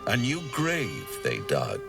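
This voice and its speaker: A sinister, courteous storyteller in a video game, sly and theatrical. His deep, gravelly voice moves slowly and deliberately, slightly distant with music behind. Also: wildly swooping pitch, heavily acted. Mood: ominous. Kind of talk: video game voice acting with rhyming verse.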